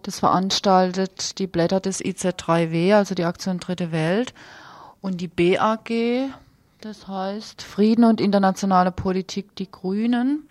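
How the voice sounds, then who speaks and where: A woman's voice: medium pitch, fairly even intonation, measured pace, darkish tone, dry recording. Informal woman on radio, dry sound. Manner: informal, self-correcting. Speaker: radio presenter. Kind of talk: live reading of event listings.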